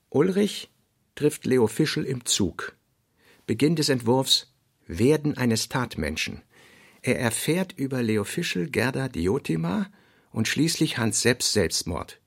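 A man reading scripted literary narration for radio in a measured, precise way. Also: medium pitch, gravelly, slightly rough.

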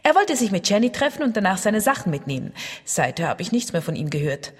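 A woman's voice: medium pitch, big pitch swings, fast talker, slightly rough. An actress playing a haughty, possessive girlfriend who is indignant and dismissive, in a radio play. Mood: sure, dismissive. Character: haughty, possessive girlfriend, sure of her lover. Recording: reverberant stairwell scene.

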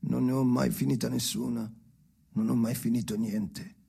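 A man acting a husky, gravelly, haunted man. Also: medium pitch, little pitch movement, warm tone. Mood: weary, restless. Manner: halting, repetitive, self-correcting, shifting from murmurs to outbursts. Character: haunted, obsessive man talking to his dead father. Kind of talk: dramatic radio theatre monologue.

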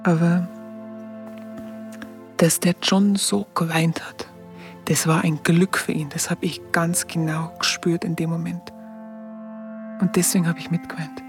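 An actress playing a tender, simple-hearted woman with a Bavarian accent, remembering in halting, colloquial half-whispers in a radio drama. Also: on the low side, little pitch movement. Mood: tender, moved. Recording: music under the voice.

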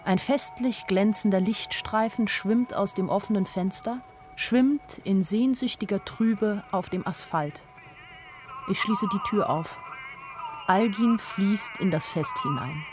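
A woman's voice: medium pitch, takes it slowly, close to an everyday voice. An actress playing a weary, lovesick young woman who narrates a radio play in an introspective, lyrical way. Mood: weary wonder. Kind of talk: literary first-person radio play narration. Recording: studio radio play with faint music.

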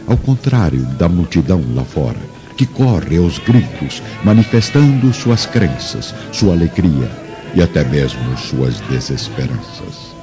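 A man narrates a radio drama in a deep voice. His delivery is solemn, formal and dramatic, over clear music on a slightly dull recording. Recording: dull sound with music bed.

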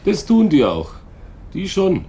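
A man playing an unhurried small-town policeman in a German radio drama, colloquial, placating and dismissive. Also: high voice, huge pitch swings, warm tone, full character voice. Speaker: actor in a radio play.

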